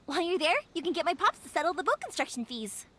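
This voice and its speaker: A woman voicing a cheerful young female fireworks maker in a video game, chatty and quick to laugh. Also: extremely high pitch, big pitch swings, fairly bright tone, slight background noise, heavily acted. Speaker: game character. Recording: game audio with music behind.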